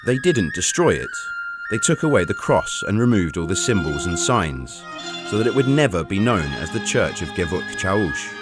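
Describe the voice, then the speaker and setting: Deep-voiced man narrating a documentary. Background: faint background music.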